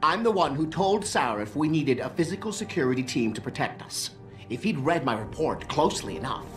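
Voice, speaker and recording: A man performs a prickly male tech expert from a video game. His speech swings animatedly in pitch, full of snide condescension and sarcasm. Music plays clearly behind him. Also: animated, a little fast, lightly acted. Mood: bitter, needling. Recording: background music under the voice.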